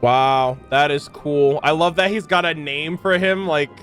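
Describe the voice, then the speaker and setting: A man with a high voice giving casual reaction commentary as a game streamer. He is expressive and slangy, quick to joke, with faint music in the background.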